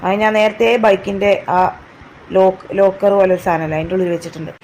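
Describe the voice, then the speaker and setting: Woman acting as cartoon neighbour woman, very quick speech, Kerala Malayalam accent, mid pitch, faint noise. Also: fairly even intonation, a little mellow, close to an everyday voice, rough recording. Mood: matter-of-fact. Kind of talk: homemade comedy cartoon dialogue.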